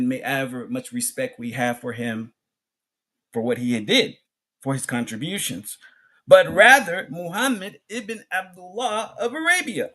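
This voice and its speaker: A man giving an Islamic sermon as a public address, speaking emphatically in short phrases with pauses, repetition and rhetorical questions. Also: on the high side, bright, forceful tone. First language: English. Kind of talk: sermon, public religious lecture.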